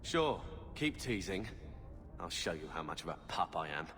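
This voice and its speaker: A man's voice: deep voice, sing-song, moderate pace, crowd noise. An actor playing an eager, bantering man who flirts clumsily in a video game. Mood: flirtatious. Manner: fumbling, bantering. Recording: clear background music.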